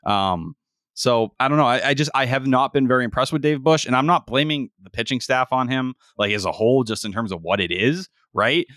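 A man's voice: mid-range voice, brisk, a bit bright, dry recording. Swearing young podcast man, dry sound. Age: 20s. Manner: rants heatedly, swears, frequent fillers. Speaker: podcast co-host. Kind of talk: casual sports chat.

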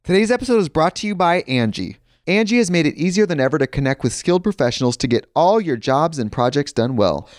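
A man's voice: a little high, big pitch swings, quick pace, dry recording, clean studio sound, faint music behind. A man giving a conversational, personal sponsor read. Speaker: ad reader.

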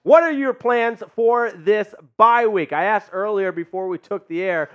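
A man's voice: sits high, a little slow, smooth, lo-fi sound. A man hosting a live sports chat show, upbeat and hyped, reading out viewer comments. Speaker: podcast host.

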